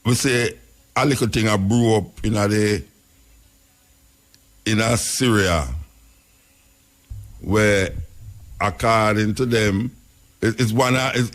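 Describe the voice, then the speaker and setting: A man with a Jamaican accent hosting a radio show, rambling through casual commentary in patois with frequent chuckles. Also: on the low side. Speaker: radio host.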